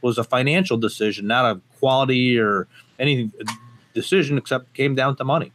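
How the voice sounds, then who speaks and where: A man's voice: medium pitch, fairly quick, a bit bright, a little hiss or hum. Man, podcast guest, from Portugal, emphatic, frequent fillers, casual interview. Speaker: gun company guest.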